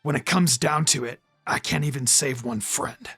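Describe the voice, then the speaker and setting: A troubled young ninja boy from an anime-style story, frustrated and hard on himself, as a narrator acts him out. He talks in a lowered, brooding mutter. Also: normal speed, a bit bright, breathy edge, faint music behind. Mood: dejected.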